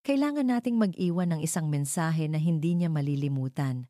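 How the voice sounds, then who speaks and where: A woman's voice: low-pitched, expressive, fairly quick, warm tone, no echo, clean studio sound. A woman with a Filipino accent voicing a cold, vengeful wealthy wife who gives commanding orders in a story.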